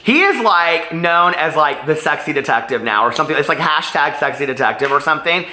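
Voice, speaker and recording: A man with a bright, forward voice speaks casually and directly to his viewers. He gushes and rambles, leaning hard on words for emphasis. The recording is roomy, with audible echo around the voice. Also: on the high side, animated, a little fast. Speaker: book reviewer vlogger. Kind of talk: casual chatty book review to camera. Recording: roomy, echoey room sound.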